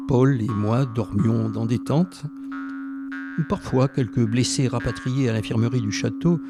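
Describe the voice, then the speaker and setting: A man's voice: medium pitch, gravelly. An actor playing a reflective French Resistance fighter who recounts his wartime memories as first-person narration in an audio drama, recorded over music.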